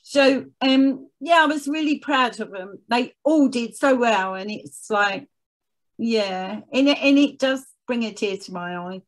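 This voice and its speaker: A woman guest telling stories casually and reflectively, thoughtful and halting as she finds her words. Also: a little high, poor audio. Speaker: podcast guest, charity chief executive. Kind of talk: casual reflective storytelling in an interview.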